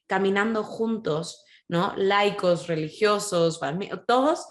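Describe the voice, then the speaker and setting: A woman's voice: mid-range voice, slow pace. A woman hosting a podcast, talking with eager enthusiasm and frequent restarts. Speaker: podcast host. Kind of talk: casual podcast introduction.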